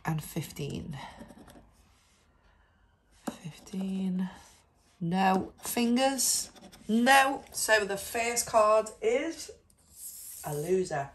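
A woman's voice: mid-range voice, lively intonation, drawn-out words, long pauses, slight background noise. A woman with a head cold, chatting casually as she scratches lottery cards and reads out numbers and letters. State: congested with a cold. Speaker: scratch card vlogger. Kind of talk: casual narration over scratch card video.

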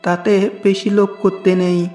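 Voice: mid-range voice, little pitch movement, measured pace, mellow. A storyteller reading a scripted fable narration for a cartoon. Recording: roomy echo with music behind.

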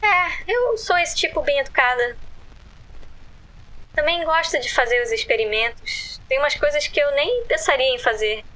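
A woman playing a guarded, polite young captive with anomalous powers in an audio drama interview, soft-spoken and hesitant. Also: high-pitched, expressive, normal speed. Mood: guarded, resigned.

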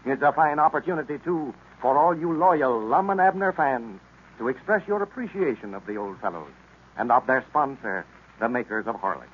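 An American man announcing in a scripted 1930s radio style, as for a sponsor pitch or story introduction. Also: animated, normal speed. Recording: muffled, noisy vintage radio recording.

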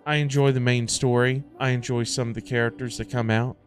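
A middle-aged man chatting casually to livestream viewers in an animated, emphatic way, often repeating himself for emphasis. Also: mid-range voice, fairly quick. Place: indoor home streaming setup. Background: music.

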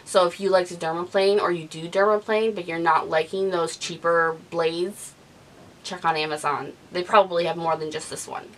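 A woman in her thirties talking casually about her favorite beauty products, gushing and animated, recorded indoors on a studio mic. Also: on the low side, normal speed, poor audio. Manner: chatty, gushing, animated with hand gestures. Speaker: beauty vlogger. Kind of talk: casual product review talk. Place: living room, gray couch, indoors. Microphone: studio mic off camera.

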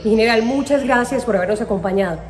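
A woman's voice: a little high, fast talker, reverberant, in a large hall, noisy background. Young woman reading army news. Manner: formal, crisp announcing. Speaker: news presenter. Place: studio set.

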